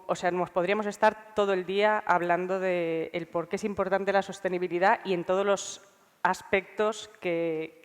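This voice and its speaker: A woman's voice: fairly low pitch, clean tone. A woman with a Spanish accent from Spain, congested with a cold, gives informal panel remarks, hesitantly thinking aloud. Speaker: research scientist, panelist. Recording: reverberant hall sound.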